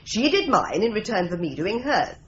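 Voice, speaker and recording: A wry, teasing secretary, played by a woman in a radio play, her voice lively and swinging in pitch. The recording is dull and lo-fi, with a faint hiss. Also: normal speed, lightly acted. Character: sardonic, teasing female office secretary.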